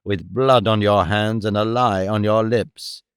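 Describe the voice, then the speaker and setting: A man voicing a grim, vengeful jungle-raised hero who speaks low, slow and menacing. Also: fairly even intonation, smooth, no echo, studio quality, lightly acted.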